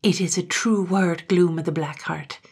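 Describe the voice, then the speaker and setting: A woman reader voicing a fierce, defiant young Highland woman, with a husky, gravelly edge and a spitting, fiery manner. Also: steady tone, normal speed, darkish tone. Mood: scornful.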